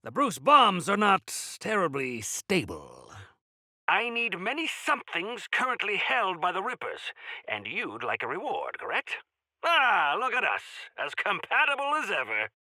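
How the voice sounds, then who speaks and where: An actor playing a spry, jovial yet fierce old rebel elder in a video game, with a gravelly voice and a grandiloquent, mischievous manner. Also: male voice, sits high, huge pitch swings, a little slow, pushed and bright, no room sound, heavily acted. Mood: formal, sly. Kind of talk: theatrical video game character dialogue.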